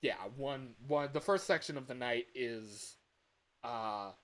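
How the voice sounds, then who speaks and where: A man talks casually on a livestream as an amateur game developer. His voice sits at an average pitch for a man. He rambles in a loose, unscripted way, often repeating a phrase before moving on. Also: slow pace, a bit bright.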